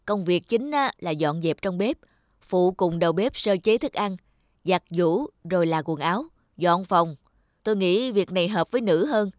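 A woman's voice: on the low side, animated, dry recording, clean studio sound, natural voice. A woman playing a haughty, exacting wealthy mistress who gives stern orders in a children's cartoon. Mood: businesslike. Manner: stern, commanding, brisk. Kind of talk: dubbed children's cartoon dialogue.

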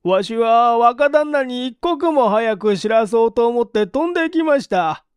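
A man voicing a panicked farmhand who speaks loudly in rustic dialect, in an audiobook reading. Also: extremely high pitch, animated, clear, resonant tone, no echo, studio quality, pitched above the performer's own voice. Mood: urgent, breathless reporting. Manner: drawn-out shouting in rustic dialect. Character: panicked rustic farmhand reporting a death to his master. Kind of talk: audiobook character voice.

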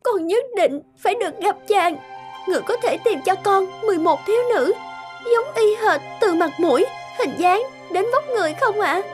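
A woman's voice: very high voice, lively intonation, moderate pace. A woman voicing a young princess in love in a cartoon fairy tale, earnest and emotional. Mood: heartbroken, pleading. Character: young princess in love, sweet, pleading, heartbroken. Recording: loud background music.

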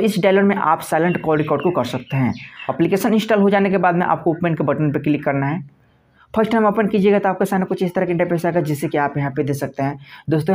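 A man's voice: a little high, a little mellow. A man presenting a step-by-step phone tutorial in a repetitive, instructional manner. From India.

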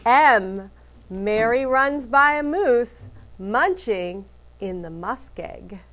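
A woman teacher reading a picture book aloud to children, deliberately emphasizing letters and phrases. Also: a little high, expressive, a bit bright.

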